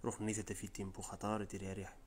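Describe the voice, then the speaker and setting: A man's voice: on the low side, completely flat delivery, a little hiss or hum. A man with a Riffian accent, from the Rif region of Morocco, giving an informal step-by-step tutorial as a voice-over. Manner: brisk, informal, step-by-step explaining. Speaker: tutorial presenter. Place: voice-over, not the pictured scenes.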